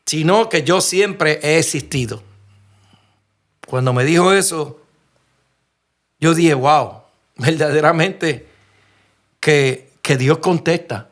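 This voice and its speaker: Puerto Rican preacher, fairly high voice. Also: male voice, slow, pauses often. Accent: Puerto Rican Spanish. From Puerto Rico. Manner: earnest storytelling, frequent restarts and rhetorical questions. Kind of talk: sermon.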